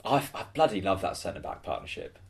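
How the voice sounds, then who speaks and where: A man's voice: low voice, big pitch swings, quick pace. A man co-hosting a football podcast, asking questions and joking in a dry way. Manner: dry, jokey, asks questions. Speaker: podcast co-host. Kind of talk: casual football podcast chat.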